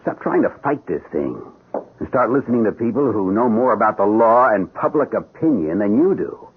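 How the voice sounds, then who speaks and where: A pragmatic defense lawyer, worldly and persuasive as he pushes for compromise. The voice is very rough and on the low side, lively in contour, through a narrow, muffled radio drama recording. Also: moderate pace.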